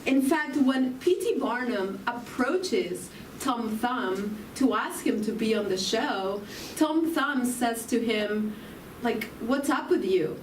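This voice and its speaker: A woman from Argentina with an Argentine Spanish accent delivers a spiritual talk at a slow, deliberate pace, often turning questions back to her listeners. Her voice sits a little high. She is picked up from some distance in a roomy hall, with faint ambience behind her. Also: animated, slight background noise. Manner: slow, reflective, asks the audience questions. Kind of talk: inspirational sermon.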